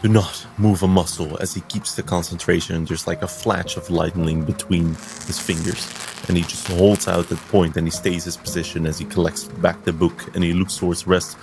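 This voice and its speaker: Intense low-voiced man over music. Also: flat delivery, normal speed, darkish tone. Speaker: tabletop game player. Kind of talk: dramatic third-person game narration.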